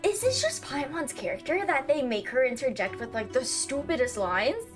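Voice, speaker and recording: Young female game streamer: high-pitched, lively, playful offhand commentary with game music clearly behind. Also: moderate pace. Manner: playful, repeats phrases, sing-song asides. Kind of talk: casual reaction commentary while playing. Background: video game music.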